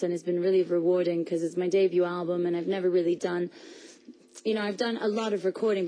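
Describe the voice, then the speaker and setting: Mellow young woman, quick and chatty. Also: lowish voice, poor audio. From Portugal. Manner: chatty, quick, many restarts and laughs. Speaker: singer guest. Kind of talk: radio interview answers. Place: radio studio.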